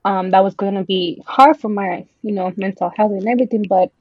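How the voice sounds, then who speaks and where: A woman's voice: medium pitch, steady tone, brisk, no room sound. Podcast guest, woman in her 20s from El Salvador, chatty with lots of ums, dry dull lo-fi sound. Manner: chatty, many ums, slips into Spanish words. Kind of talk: casual podcast chat.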